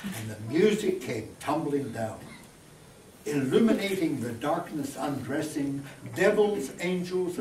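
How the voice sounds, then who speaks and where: A man in his seventies reciting poetry at a lectern with deliberate, dramatic phrasing. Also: on the high side. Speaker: poetry reader. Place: small meeting room, indoors. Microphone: lectern mic, filmed by distant camera. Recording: roomy, distant mic, background noise.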